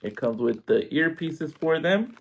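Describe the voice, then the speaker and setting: A man's voice: on the high side, expressive, measured pace, gravelly. Casual man reviewing a gadget. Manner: informal, step-by-step demonstrating. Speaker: product reviewer. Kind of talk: casual unboxing review to camera. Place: indoors at home.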